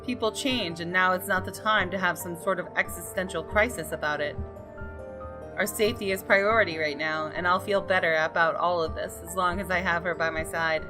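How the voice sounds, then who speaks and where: A woman gaming host giving an expressive, dramatic scripted narration of a visual novel. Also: fairly low pitch, a little fast. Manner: expressive, story-reading delivery. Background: game music.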